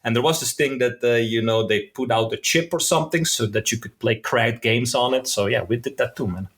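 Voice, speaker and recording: A man's voice: medium pitch, a little fast, fairly bright tone. A man from the Netherlands, a podcast guest giving his opinions in a thoughtful, casual way, often restarting words and saying "man". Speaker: podcast guest, concept designer.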